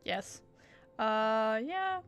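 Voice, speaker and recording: A woman game streamer with a fairly high voice talks casually and reactively. She takes her time, dropping in informal, sweary asides. Light game music sits behind her. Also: big pitch swings, very slow, smooth. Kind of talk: casual live gameplay commentary.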